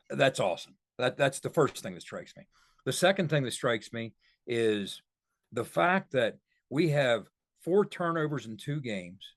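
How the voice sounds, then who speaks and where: Emphatic male sports podcast analyst, mid-pitched. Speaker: podcast co-host.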